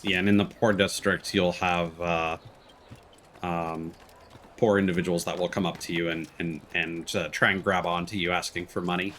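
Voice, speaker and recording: Low-voiced man streaming a video game. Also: little pitch movement, moderate pace, fairly bright tone, slight background noise. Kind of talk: casual gameplay commentary. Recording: game music behind the voice. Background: video game music.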